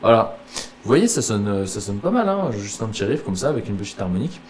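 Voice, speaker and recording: Low-voiced guitar teacher with a cold. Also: male voice, fairly quick. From southern France. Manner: relaxed, chatty, explains step by step with fillers. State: has a cold, coughing. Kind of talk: casual guitar lesson.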